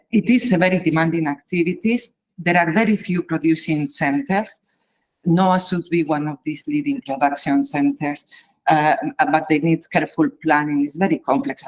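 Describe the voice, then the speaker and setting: A woman giving a measured conference talk as an invited reviewer, pausing often with fillers. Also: deep voice, poor audio. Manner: halting, measured, many pauses and fillers.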